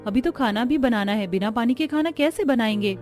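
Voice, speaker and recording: A woman's voice: fairly high pitch, expressive, fairly quick, a little mellow, faint music behind, lightly acted. A woman voicing a fretful, talkative young daughter-in-law in a cartoon family story, exclaiming and questioning. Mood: dismayed. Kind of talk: Hindi cartoon dubbing.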